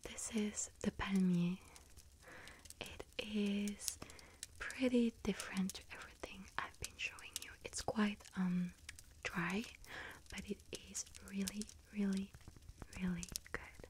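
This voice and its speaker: A woman playing a sweet-toothed, friendly little witch who tends a bakery, in a whispered ASMR roleplay, speaking gently and savoringly in a breathy whisper with small hesitations. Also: mid-range voice, steady tone, takes it slowly, a little hiss or hum, natural voice. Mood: gentle, savoring. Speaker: ASMR roleplay performer as bakery shop assistant.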